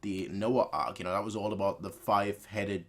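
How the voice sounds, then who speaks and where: A man's voice: lowish voice, fairly even intonation, moderate pace, a bit bright. A man casually commenting as a reaction video host, repeating himself as he works out his thoughts.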